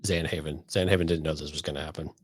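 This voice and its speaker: A man's voice: very deep voice, fairly even intonation, quick pace, slightly rough, no echo, clean studio sound. A man co-hosting a podcast, giving his commentary on a story in casual conversation. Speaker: podcast co-host. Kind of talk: casual discussion commenting on an audio drama.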